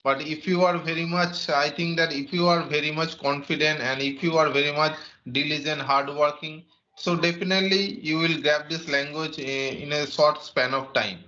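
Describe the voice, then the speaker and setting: Indian-accented man, Santali native, hesitant practice talk, somewhat high, muffled audio. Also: fairly even intonation, normal speed, sharp tone, a little hiss or hum. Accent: Indian English. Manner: hesitant, many fillers and repeated words. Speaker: English practice partner. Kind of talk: casual English conversation practice.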